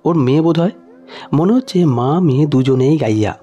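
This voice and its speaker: Storybook villain: haughty, short-tempered male shop owner read by a man, sneering, lively, music behind. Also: on the high side, moderate pace, muffled recording, lightly acted. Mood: angry contempt. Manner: sneering, contemptuous.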